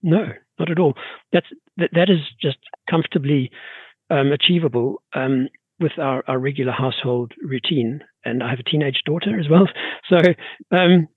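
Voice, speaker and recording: A middle-aged man with a South African accent speaks in a measured, halting way, pausing and restarting as he explains. His voice is gravelly and husky. It comes over a dry, tinny, phone-like remote line. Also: a little high, dry recording. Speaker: guest horticulture expert. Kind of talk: casual podcast interview.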